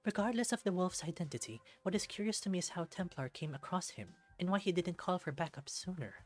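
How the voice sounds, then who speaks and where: A man voicing a calm, formal superhero leader with deliberate, measured wording for a visual novel. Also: high-pitched, soft background music, pitched above the performer's own voice. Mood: grave.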